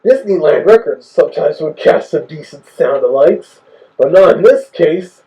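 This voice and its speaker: High-pitched, gravelly male reviewer. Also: lively intonation, normal speed, warm tone, lo-fi sound. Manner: fault-finding, grumbling. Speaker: host reviewer. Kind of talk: casual critical commentary.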